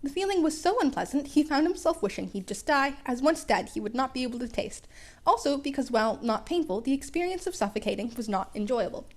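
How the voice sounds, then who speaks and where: A woman's voice: high voice, animated, fast talker. A woman narrating a story in a casual amateur style, with wry, playful asides and stumbles over the text. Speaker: podfic reader.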